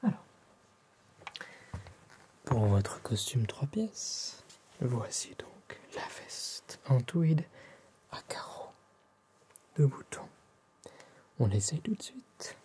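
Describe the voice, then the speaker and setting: Man acting as a hushed, slow, courteous French tailor. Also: mid-range voice, sing-song. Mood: calm, attentive. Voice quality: soft, hushed, often whispered. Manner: polite, formal, unhurried salesman-like. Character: courteous, attentive male tailor serving a client. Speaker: ASMR roleplay performer. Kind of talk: whispered ASMR roleplay.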